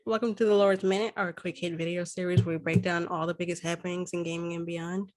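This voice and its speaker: A woman's voice: on the low side, flat delivery, quick pace, a little mellow. A tired woman hosting a casual gaming podcast, speaking in brief, easygoing remarks. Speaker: podcast host.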